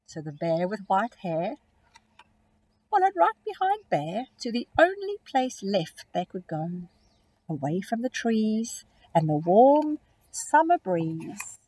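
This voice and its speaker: A middle-aged woman teacher reads a picture book aloud to young children, with a lively, sing-song rise and fall. Her voice is soft and breathy, recorded close up on a phone outdoors. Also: mid-range voice, slow pace, poor audio. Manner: expressive, storytelling. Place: outdoors in a grassy field.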